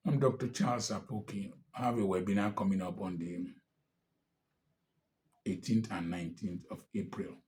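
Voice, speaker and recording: A man's voice: deep voice, steady tone, normal speed, long pauses, darkish tone. A man in his sixties with a Nigerian accent, giving an impassioned political address, emphatic and defiant. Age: 60s. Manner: emphatic and defiant, rising to angry outbursts. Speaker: commentator.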